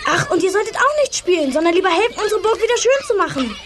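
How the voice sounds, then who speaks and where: Tiny scatterbrained male star sprite, squeaky, animated, cheeky, children's cartoon audio play. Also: fast, a little hiss or hum. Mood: chirpy, busy. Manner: chirpy, bossy, animated.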